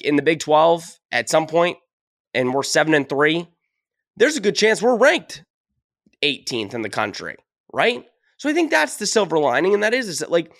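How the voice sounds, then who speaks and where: A man's voice: fairly high pitch, fairly bright tone, no room sound, studio quality. A man hosting a casual sports podcast, ranting with emphasis, a little sick and losing his voice. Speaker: podcast host.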